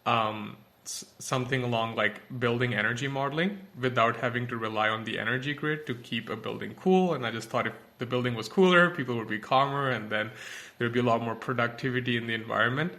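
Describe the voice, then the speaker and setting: A man's voice: mid-range voice, fairly quick, bright, forceful tone. A young man from Pakistan, a tech company founder, answering podcast interview questions thoughtfully with many fillers and restarts.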